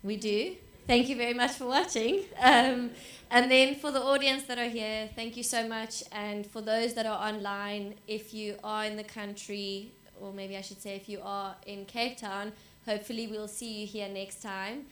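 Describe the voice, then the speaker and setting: A woman's voice: mid-range voice, steady tone, normal speed, a bit bright. A South African woman closing a live panel evening with thanks, chatty and full of ums. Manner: grateful, chatty, with many ums. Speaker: event organiser closing the evening. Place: lounge venue at a wine estate. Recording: echoey room recording.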